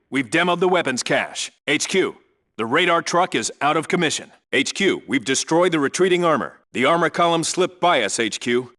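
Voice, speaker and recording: A man's voice: on the high side, sharp tone. A man playing a no-nonsense special forces team leader in a video game, reporting in a clipped, businesslike, commanding way. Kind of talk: scripted radio call-outs, video game military acting.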